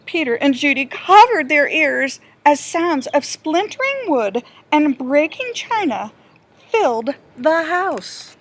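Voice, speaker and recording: A woman reading a children's storybook aloud like a teacher, with deliberate, expressive storytelling. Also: sits high.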